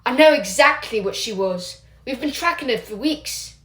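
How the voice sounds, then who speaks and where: A gruff older wizard teacher scolds with stern, protective anger, voiced by a female reader. He snaps his words out in short bursts. A roomy echo hangs around the voice. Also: on the high side, lively intonation, moderate pace, a bit bright, voice pushed up. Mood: scolding.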